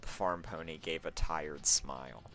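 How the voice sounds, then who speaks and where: A man's voice: rumbling low, a little slow, a bit bright, faint music behind. A man with a sore throat reading fan fiction as a scripted story narration.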